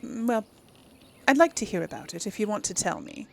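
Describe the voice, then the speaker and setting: A woman's voice: medium pitch, sing-song, normal speed, creaky, a little mellow, slight background noise. A woman hosting a casual let's play, chattily thinking aloud to her viewers. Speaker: gamer, series host.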